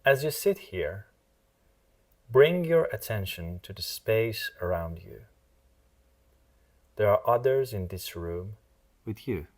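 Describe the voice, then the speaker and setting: Very slow, low-voiced man guiding meditation. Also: animated. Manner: soothing, measured, with long pauses between short phrases. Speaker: meditation guide. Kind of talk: guided meditation, scripted.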